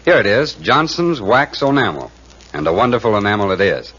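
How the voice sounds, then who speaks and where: An actor playing an enthusiastic storekeeper in a scripted 1940s radio advertisement. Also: male voice, fairly low pitch, big pitch swings, a little fast, fairly bright tone, slight background noise. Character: enthusiastic male storekeeper pitching paint. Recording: muffled 1940s radio recording.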